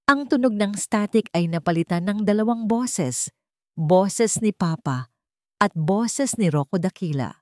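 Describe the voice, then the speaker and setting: A woman's voice: medium pitch, expressive, unhurried, no room sound, studio quality. A woman reading a scripted dramatic first-person story as a narrator. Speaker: storyteller of Tagalog romantic drama stories.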